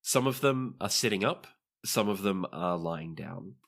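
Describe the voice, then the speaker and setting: Male game master, low-pitched, for narrating tabletop roleplaying scenes. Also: expressive, a little slow, vocal fry, a bit bright.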